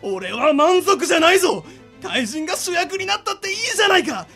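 A man's voice: very high voice, big pitch swings, fairly quick, sharp tone, soft background music, full character voice. A man playing an ambitious, theatrical monster boss in a radio drama, rousing his followers like a speechmaker. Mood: impassioned. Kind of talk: anime-like radio drama acting.

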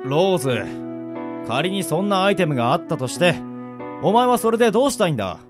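An easygoing sword master in middle age, acted by a man, with a highly varied, lively intonation. He can be courteous or gruffly casual, in radio drama style with music behind him. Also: brisk. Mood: weary, musing. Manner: switches between smooth polite formality and rough casual drawl. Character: easygoing middle-aged male swordsman mentor, courteous in public, gruff in private.

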